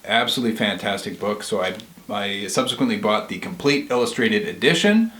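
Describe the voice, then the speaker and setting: A man with a slightly low voice shares book recommendations as a co-host. He talks casually and conversationally, and the recording is noticeably roomy and echoing. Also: animated, a little fast, fairly bright tone.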